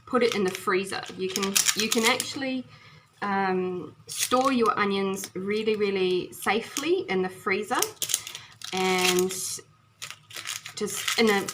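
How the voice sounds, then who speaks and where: A woman from New Zealand hosting a home cooking video, chatting cheerfully as she chops and stirs in her kitchen. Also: mid-range voice. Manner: cheerful and chatty, often laughing, talks while chopping and stirring. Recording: noisy home kitchen with frying sizzle. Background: food sizzling and kitchen clicks.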